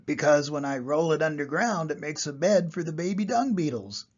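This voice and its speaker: A man's voice: on the high side, lively intonation, moderate pace, muffled recording. A grandfather voicing an earnest little dung beetle. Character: busy cheerful male dung beetle.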